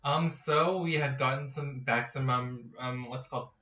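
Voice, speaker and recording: A man's voice: medium pitch, normal speed, bright, forceful tone. A man co-hosting a casual tech podcast, talking in a loose, informal, conversational way. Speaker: co-host. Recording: echoey room, distant muffled mic.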